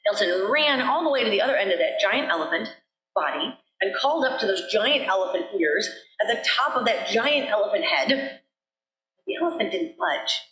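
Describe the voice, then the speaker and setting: A woman minister tells a story with a very animated, fairly high voice. She is deliberate and leans on repeated phrases. The sanctuary around her adds a clear echo. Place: church sanctuary. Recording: roomy, echoey sanctuary.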